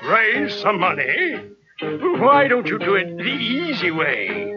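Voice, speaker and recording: A man's voice: high-pitched, sing-song, takes it slowly, heavily acted. A man playing an exasperated middle-aged father in a 1960s cartoon, on dull old TV audio with music behind. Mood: sarcastic.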